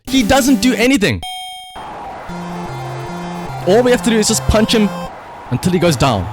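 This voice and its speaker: Male livestream gamer, slightly high voice, very lively intonation, casual and sweary, excitable. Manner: excitable, emphatic, shouts at the game, swears. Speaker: live streamer. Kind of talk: casual livestream gaming commentary.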